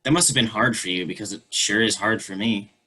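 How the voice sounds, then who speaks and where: Low voice, earnest, quietly emotional, play reading on a video call. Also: male voice, a little fast, slightly rough, low-quality recording. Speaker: actor reading a teen character in a play.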